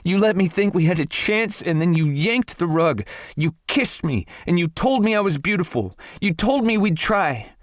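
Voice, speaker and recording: A man voicing a young heartbroken woman screaming accusations until she turns hoarse. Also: high-pitched, animated, normal speed, dry recording, studio quality, voice pushed up. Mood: furious, anguished. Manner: shrieking, accusing.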